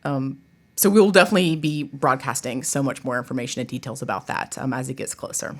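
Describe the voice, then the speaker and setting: A woman with a low-set voice talks in a loose, chatty way. She is a guest answering interview questions as a theatre director, keeping it informal and conversational. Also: steady tone, fast talker. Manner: informal, chatty, laughs easily.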